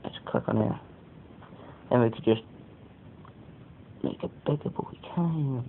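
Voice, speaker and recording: A man talks through a casual product review in a croaky, gravelly voice that sits fairly low. He is hesitant and rambling, making it up as he goes. The recording is narrow and phone-like, with a faint rumbling noise underneath. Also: unhurried. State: croaky voice today.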